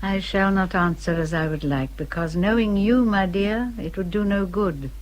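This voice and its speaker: A woman's voice: medium pitch, moderate pace, lightly acted, rough recording. An actress playing a fond, opinionated middle-aged English lady in a radio period drama, refined, effusive and emphatic. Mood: concerned.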